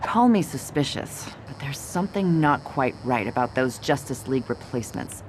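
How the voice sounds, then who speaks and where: A woman voicing a confident, skeptical star reporter in an animated video game, wry and self-assured. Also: a bass voice, moderate pace, poor audio. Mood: confident, suspicious. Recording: vehicle and ambient noise behind.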